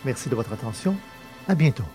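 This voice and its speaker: A man's voice: medium pitch, expressive, brisk, warm tone. A man reading scripted announcements and introductions as a podcast announcer. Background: music bed.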